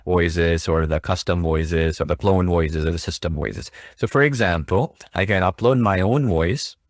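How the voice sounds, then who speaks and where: A man casually narrating a software tutorial, explaining step by step with repetition and frequent check-in questions. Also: sits low, normal speed, dry recording, clean studio sound. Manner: repetitive, explanatory, often checking with "right?" and "okay?". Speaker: tutorial presenter.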